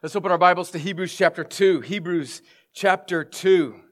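A man's voice: high-pitched, moderate pace. A middle-aged male pastor with a head cold and scratchy throat, preaching a sermon earnestly, explaining and reading scripture with emphasis.

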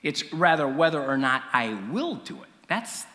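Animated male preacher in a reverberant hall. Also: on the high side. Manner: conversational, halting, with frequent pauses and throat clearing. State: slightly rough morning voice. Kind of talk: sermon with illustrative storytelling.

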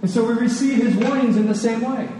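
A man preaching a sermon in an earnest, emphatic way, repeating phrases deliberately for weight. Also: extremely high pitch, dark tone. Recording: echoey hall, distant, faint noise.

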